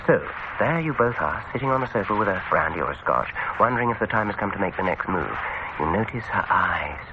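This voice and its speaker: Low-voiced, sinister, coaxing dentist. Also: male voice, big pitch swings. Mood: insinuating. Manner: coaxing, deliberate, theatrically insinuating. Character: suave, sinister male dentist, smoothly persuasive and controlling. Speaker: actor in a radio drama. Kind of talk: radio horror drama acting. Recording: noisy, muffled old radio broadcast recording.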